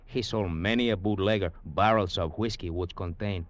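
Man acting deep-voiced sly cafe owner. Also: normal speed, muffled recording, natural voice. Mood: genial, amused. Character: Tio, genial sly Cuban cafe owner, a reformed rogue. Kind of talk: 1940s radio drama acting.

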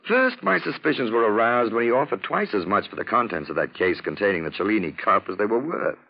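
A suave gentleman detective in an old 1940s radio drama, on a dull vintage recording. His low voice swoops expressively as he lays things out with urbane, witty self-satisfaction. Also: expressive, fairly quick. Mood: amused, self-satisfied. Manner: urbane, theatrical, drawling explanations in lettered points.

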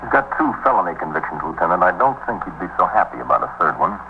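An urgent narcotics detective from a 1950s radio police drama. His low-set voice races along, respectful toward his superiors. The sound is thin and phone-like, with clear hiss and noise over it. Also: very animated. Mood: earnest, hurried. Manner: hurried, deferential to superiors. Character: urgent, deferential male narcotics detective chasing a suspect. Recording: tinny, noisy 1950s radio recording.

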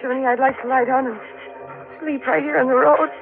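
A woman's voice: high voice, fairly even intonation, fairly quick. A woman playing a frightened, exhausted young bride in a 1940s radio thriller, faltering and pleading. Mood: anxious. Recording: tinny old radio with music.

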